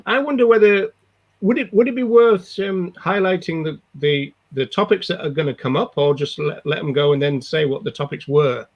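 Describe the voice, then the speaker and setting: An older man with a croaky voice from a cold, co-hosting a casual video-call discussion in a rambling, hesitant way. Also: on the high side, normal speed, highs cut off. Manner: rambling, hesitant, lots of restarts. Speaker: co-host. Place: home room.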